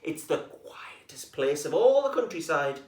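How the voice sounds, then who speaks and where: A storybook reader voicing a kindly, cheerful badger in a high, airy voice. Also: sing-song, measured pace. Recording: roomy echo, voice far from mic.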